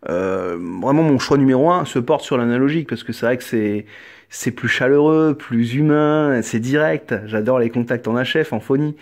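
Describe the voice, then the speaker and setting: A man with an average-pitched voice speaks in a casual amateur radio exchange. He sounds earnest, searching for words and circling back to repeat his point. The delivery is conversational and unscripted. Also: moderate pace, a little mellow.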